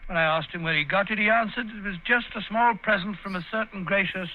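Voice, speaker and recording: Man as a fretful loyal sidekick, old-time radio drama, high pitch, muffled old recording. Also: fast talker, slight background noise, lightly acted. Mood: reminiscing. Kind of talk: 1940s radio acting.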